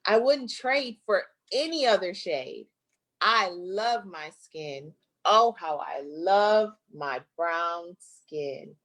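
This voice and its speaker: Lady reading a kids book out loud, real slow and playful, expressive storytime style. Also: lowish voice. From Flint, United States. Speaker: guest author reading her own book. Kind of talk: expressive children's picture book read-aloud.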